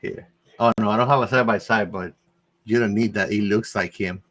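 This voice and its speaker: Male podcast co-host, fairly low voice, informal film discussion. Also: steady tone, a little fast, a bit bright. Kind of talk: casual movie discussion.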